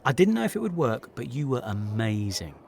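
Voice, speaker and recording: A mid-pitched, very expressive voice: a young British actor down on his luck in an audio play. He is self-deprecating and insecure, talking naturally with small hesitations. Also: a little fast, vocal fry. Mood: admiring. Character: struggling, self-deprecating jobbing actor John, frustrated and insecure. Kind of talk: naturalistic audio drama dialogue.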